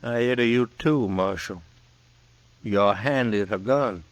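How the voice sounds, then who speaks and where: An actor playing a menacing gunfighter in an old western radio drama, drawling, insolent and taunting. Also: male voice, on the low side, measured pace, dry recording, natural voice. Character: cocky, menacing Old West gunman.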